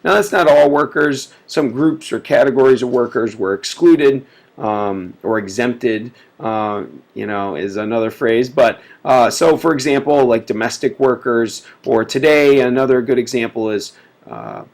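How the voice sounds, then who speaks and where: Gravelly-voiced man teaching while sick. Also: mid-range voice, unhurried. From United States. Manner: casual, many filler words. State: sick and sniffly. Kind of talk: recorded history lecture.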